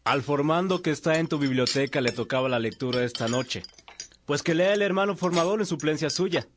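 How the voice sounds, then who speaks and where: A man's voice: fairly high pitch, fairly quick, background noise, lightly acted. A man playing a friendly monk chatting with his brothers in a radio drama.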